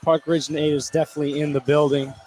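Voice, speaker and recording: A man's voice: a little high, level tone, quick pace, a little mellow, faint music behind. A man giving casual colour commentary on a live basketball game in colloquial language, often with short reactions. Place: indoor basketball gym, courtside.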